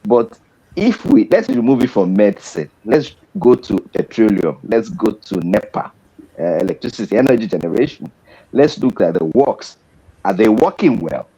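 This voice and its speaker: A middle-aged Nigerian man, a doctor, speaking deliberately on a panel, with pauses and restarts. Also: on the low side, very animated, dark tone, husky, low-quality recording. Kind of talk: measured panel discussion.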